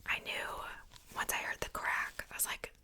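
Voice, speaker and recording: A young adult woman speaking in a breathy, unvoiced whisper, doing a casual ASMR show-and-tell in a soft, rambling, unhurried way. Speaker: ASMR video creator.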